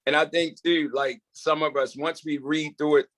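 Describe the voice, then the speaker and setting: A man's voice: on the high side, fairly even intonation, normal speed, fairly bright tone. A man chatting casually on a video call, very colloquial and often checking that the listener follows him. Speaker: table read participant. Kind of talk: casual meeting chat.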